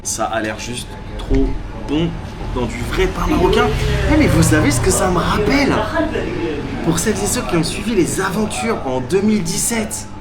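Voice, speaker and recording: A man's voice: high-pitched, very animated, normal speed, over loud music. A man chatting casually to the camera in a travel vlog, enthusiastic and full of fillers, at a busy local eatery. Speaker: travel vlogger. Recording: street crowd noise behind.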